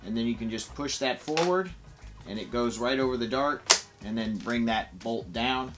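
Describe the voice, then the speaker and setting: A man's voice: mid-range voice, normal speed, loud music behind the voice. A man giving a casual, enthusiastic, conversational product review as a toy reviewer.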